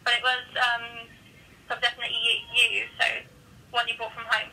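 A woman working as a shop assistant, answering a customer call, heard through a phone loudspeaker. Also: on the high side, completely flat delivery, normal speed, recorded from a distance, low-quality recording. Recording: loud phone loudspeaker, faint room noise.

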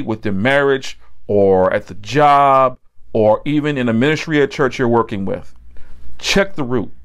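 A middle-aged man preaching a Bible-teaching sermon, emphatic and repeating key phrases for stress. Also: mid-range voice. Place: indoor set with backdrop, at a table.

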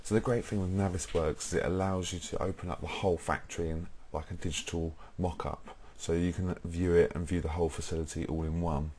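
A young British man presenting a software tutorial, explaining informally step by step in a husky voice. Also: low voice, steady tone, a little fast, few pauses, a little hiss or hum. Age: young adult.

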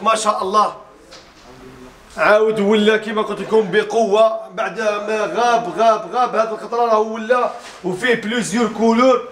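A man in his thirties with an Algerian accent, mixing in French words as he presents a sofa set in a small furniture showroom with an upbeat, laughing sales pitch. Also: fairly bright tone. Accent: Algerian Arabic with French mixed in. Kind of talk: casual product showcase video.

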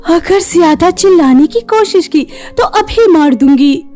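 Cruel witch schoolgirl played by a woman, horror tale: very high, drawn-out, commanding, thirsting for blood, music underneath. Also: animated, mellow. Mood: threatening. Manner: slow, threatening delivery with cackling. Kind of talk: dramatised horror storytelling.

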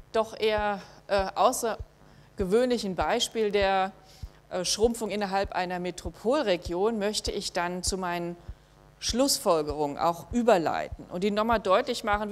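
A middle-aged woman giving a university lecture in a hall, measured and thinking aloud with frequent hesitations. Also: mid-range voice. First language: German. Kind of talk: academic lecture with slides.

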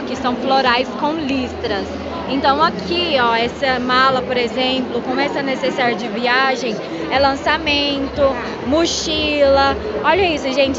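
A young woman vlogging from a busy trade fair, gushing and excitable as she talks to her phone held at arm's length. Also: high-pitched. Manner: gushing, excitable, quick bursts. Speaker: beauty vlogger. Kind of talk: casual vlog chat. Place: crowded indoor trade fair hall. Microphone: handheld phone at arm's length. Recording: lo-fi phone audio, loud crowd noise.

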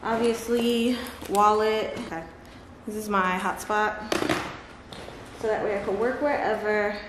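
A woman's voice: medium pitch, steady tone, a little slow. Chatty woman vlogging in echoey room. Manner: chatty, laughs often, talks to her dogs. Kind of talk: casual vlog chat to camera. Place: large bright living room at home. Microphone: camera on a table close by. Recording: echoey room with clear background noise.

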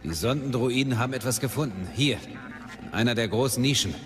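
An actor playing a businesslike military captain in a radio play, reporting in a matter-of-fact manner. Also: male voice, mid-range voice, expressive, brisk, natural voice. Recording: reverberant studio drama, faint music.

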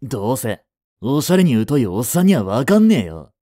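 An actor playing a jealous, hot-tempered teenage male delinquent student in a romantic audio drama, talking in rough slang. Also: medium pitch, big pitch swings, fairly quick, dark tone, no echo, clean studio sound. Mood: angry, defiant.

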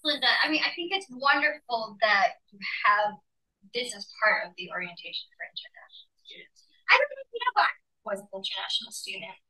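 A woman from Malaysia presents a workshop over a video call, her voice very bright and a little high. She explains with animated emphasis, like a professor walking colleagues through a slide. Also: fairly quick, breathy edge, poor audio. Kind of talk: leading an online teaching workshop.

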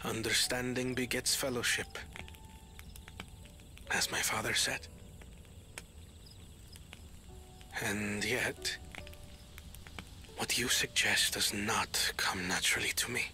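An actor playing an earnest, bookish young prince in a video game, speaking in a measured, formal, reserved way. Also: male voice, fairly low pitch, fairly even intonation, pushed and bright, heavily acted. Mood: thoughtful, resolved. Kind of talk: video game cutscene acting. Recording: background music under dialogue.

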